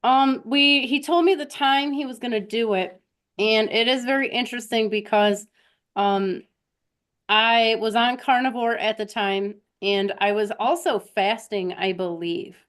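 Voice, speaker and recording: A woman's voice: fairly high pitch, measured pace, fairly bright tone. A woman hosting a live stream, telling personal stories in a casual, conversational way and talking directly to her listeners.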